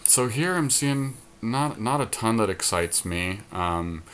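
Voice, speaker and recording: A man's voice: lowish voice, animated, slow pace, a bit bright, clean tone. A man co-hosting a podcast, giving casual, analytical, opinionated commentary on a card game draft. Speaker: podcast co-host.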